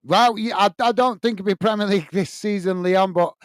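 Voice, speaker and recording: A man's voice: high-pitched, fairly quick, clean tone, no room sound. A man with a Lancashire accent hosting a casual football live stream from a small home studio, talking bluntly and passionately and addressing viewers by name. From England. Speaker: football channel host reading live chat.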